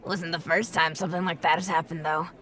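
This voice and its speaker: An actress playing a grumpy, sardonic, centuries-old irradiated ghoul woman in an audio drama, gravelly and blunt. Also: lowish voice, fairly even intonation, brisk, fairly bright tone, soft background music, lightly acted. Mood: grim, matter-of-fact.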